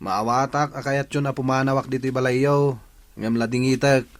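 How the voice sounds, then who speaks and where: A man's voice: medium pitch, fairly even intonation, normal speed, lightly acted. An actor with an Ilocano accent playing an aggrieved, plaintive male villager in a radio drama. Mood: hurt, resigned.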